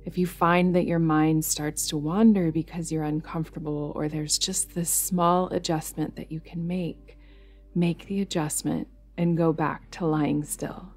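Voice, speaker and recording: Low, even-pitched woman guiding meditation. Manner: soothing, unhurried instruction with pauses. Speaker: meditation guide. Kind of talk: guided yoga nidra meditation narration. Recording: music behind the voice. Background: music.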